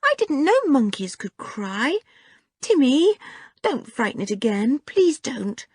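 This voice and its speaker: Young storybook girl, voiced by a woman: gentle, pleading, high-pitched, tender-hearted, expressive. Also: slow, husky, no room sound, clean studio sound, exaggerated voice. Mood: pitying. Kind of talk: children's audiobook character voice.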